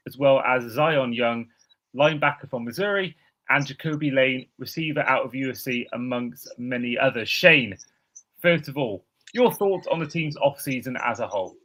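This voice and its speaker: Bright-voiced podcast host. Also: male voice, medium pitch, normal speed, rough recording. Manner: excitable, reads lists, stammers at starts. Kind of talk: casual podcast chat.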